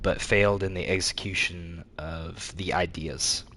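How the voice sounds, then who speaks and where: A man with a stuffy, congested voice, livestreaming a video game with casual, halting commentary and replies to chat. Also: sits low, fairly even intonation, background noise. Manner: halting, reading and answering chat aloud. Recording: game music behind the voice. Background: video game music.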